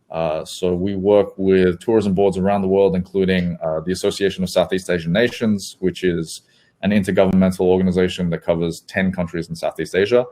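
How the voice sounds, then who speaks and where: A man, an agency co-founder, speaking as a guest co-host and explaining his work in a relaxed, rambling way over a video call. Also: sits low. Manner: relaxed, rambling, frequent ums. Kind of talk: casual business talk.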